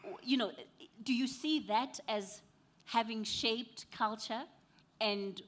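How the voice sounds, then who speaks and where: A woman moderating a panel discussion, asking questions in a measured, thoughtful way. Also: a little high.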